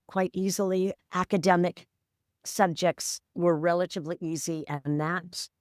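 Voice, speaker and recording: A woman in her sixties tells her story as a podcast guest. Her voice sits low and sounds smooth and resonant. She speaks in a candid, wry, matter-of-fact way, and the recording is dry and close. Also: no room sound. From San Francisco, USA. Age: 60s. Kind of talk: reflective storytelling interview.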